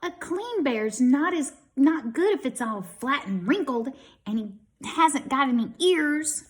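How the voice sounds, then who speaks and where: A woman's voice: high-pitched, expressive, measured pace, pitched above the performer's own voice. A woman voicing an earnest, worried young child from a storybook, in a pleading, questioning manner.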